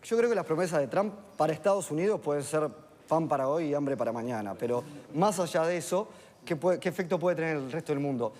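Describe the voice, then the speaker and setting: A man speaks in a measured, explanatory way with a somewhat high voice. He lays out economic points at a steady pace. His voice rings with the long reverb of a studio. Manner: measured, explanatory, with filler tags. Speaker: economist guest on a TV panel. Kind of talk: analytical panel discussion.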